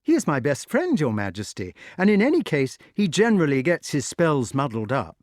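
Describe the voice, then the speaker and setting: Man doing a young boy, earnest, polite, raised pitch, lively storybook delivery. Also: moderate pace, no echo, clean studio sound. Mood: reassuring. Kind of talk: audiobook character voice.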